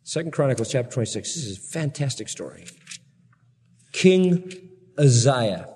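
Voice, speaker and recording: A man's voice: medium pitch, sing-song, moderate pace, warm tone, breathy edge. A man preaching an expository sermon, reading scripture and retelling it in an emphatic, colloquial, dramatic storytelling way. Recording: reverberant hall sound.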